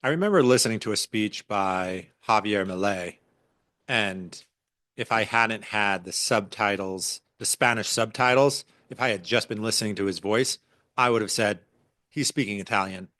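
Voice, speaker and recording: A man's voice: lowish voice, normal speed, pushed and bright, dry recording. A man from the United States hosting a podcast, asking short probing questions in casual conversation. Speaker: podcast host.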